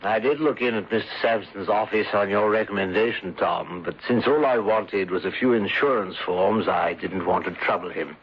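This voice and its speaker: Too-agreeable gentleman schemer, radio drama. Husky, formal, slippery; muffled vintage recording. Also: lowish voice, normal speed, hardly any pauses. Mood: smoothly polite. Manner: polite, oily, formal. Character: Julius Slington, smooth, over-agreeable, guarded gentleman villain. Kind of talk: 1970s radio drama acting. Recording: dull old radio recording.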